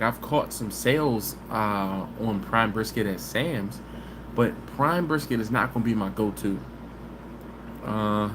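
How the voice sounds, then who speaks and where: Chatty man on a noisy live stream. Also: mid-range voice. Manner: easygoing and chatty, with drawn-out "mm-mm" hums. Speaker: barbecue channel host. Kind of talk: casual live stream chat, reading viewer comments. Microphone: phone camera. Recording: live stream audio with background hiss.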